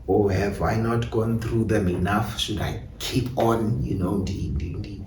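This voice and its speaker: A man's voice: lowish voice, fairly even intonation, measured pace, a little mellow, recorded from a distance. A man with a South African accent giving reflective interview answers as a playwright and director. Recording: echoey room, off-mic, faint noise.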